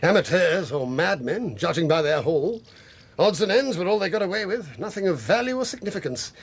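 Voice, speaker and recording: An actor playing an irascible older English country squire in a radio drama, gruff and indignant, with a raspy, gravelly voice. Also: male voice, high voice, sing-song, a little fast, full character voice. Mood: blustering. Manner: gruff, indignant, old-fashioned bluster.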